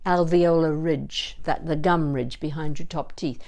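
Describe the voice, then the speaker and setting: A woman voice coach leading a warm-up lesson in an informal, encouraging and playful way, explaining each exercise as she goes. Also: deep voice, steady tone, a little slow, heavy background noise. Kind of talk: instructional vocal warm-up lesson with demonstrations.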